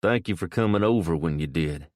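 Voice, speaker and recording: A man's voice: low voice, a little fast, darkish tone, dry recording, studio quality, close to an everyday voice. A man voicing a stubborn elderly farmer, grateful yet set in his ways.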